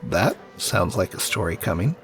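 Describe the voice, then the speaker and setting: A man acting a friendly, attentive tavern keeper with a gravelly voice in a fantasy audio drama. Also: low-pitched, big pitch swings, normal speed, faint music behind, heavily acted. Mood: welcoming, curious.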